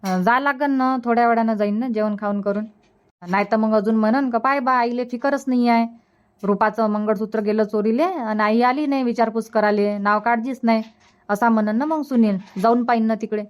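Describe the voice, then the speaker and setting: A woman plays a practical village mother from a comedy cartoon. Her voice is a little high, and she instructs matter-of-factly with a rural Varhadi Marathi accent. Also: fairly even intonation, brisk, natural voice. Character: village mother, practical and advising family elder. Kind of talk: colloquial cartoon comedy dialogue.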